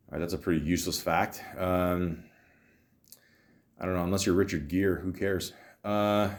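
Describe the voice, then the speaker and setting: A man hosting a casual comedic podcast, rambling and halting, with profane, sardonic asides. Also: on the low side, darkish tone. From Canada. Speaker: podcast host.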